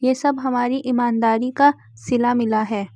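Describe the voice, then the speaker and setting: A woman's voice: a little high, fairly even intonation, measured pace, mellow, no echo, lightly acted. A woman voicing a farmer's honest wife in a cartoon story, firm and principled.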